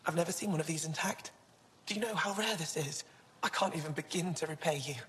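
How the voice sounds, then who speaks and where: An actress voicing an earnest alien antiquities scholar in a video game, full of wonder. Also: female voice, sits low, quick pace, natural voice. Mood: awed, grateful. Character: awed, grateful female alien antiquities scholar. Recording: long hall-like reverb.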